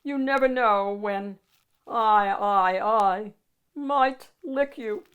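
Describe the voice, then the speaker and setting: A woman's voice: mid-range voice, drawn-out words, a bit bright, clear, resonant tone, muffled recording. A woman voicing a big, scruffy male storybook monster who whines and slurps while trying to sound menacing. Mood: mock menacing. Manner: whining, slurping, mock-threatening.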